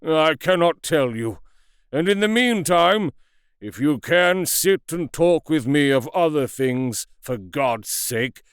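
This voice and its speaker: Male narrator voicing aged doctor, doomed and shaken, strained pleading tone, lively pitch. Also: clean tone, no room sound, studio quality. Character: dying elderly male doctor, shaken, despairing.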